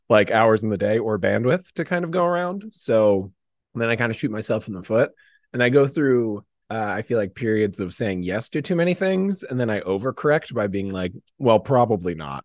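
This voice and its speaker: Lower-voiced, expressive man in casual chat. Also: no echo, studio quality. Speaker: podcast guest.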